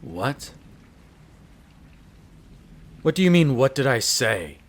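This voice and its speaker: A man's voice: mid-range voice, sing-song, normal speed, lightly acted. A man playing a haughty, urbane vampire master in an audio roleplay, condescending and coolly menacing, speaking with theatrical relish. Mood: irritated, condescending. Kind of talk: dramatic audio roleplay narration.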